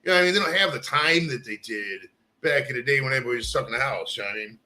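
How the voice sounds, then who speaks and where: Brash, bright-voiced male podcast host. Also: mid-range voice, rapid-fire. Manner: loose and profane, says bro and dude. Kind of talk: casual chat.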